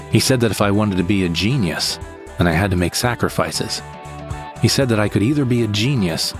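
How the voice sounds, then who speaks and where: A man playing a frightened, bitter victim who recounts his ordeal in plain, short sentences for an audio drama monologue. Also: fairly low pitch. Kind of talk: first-person scripted audio drama monologue.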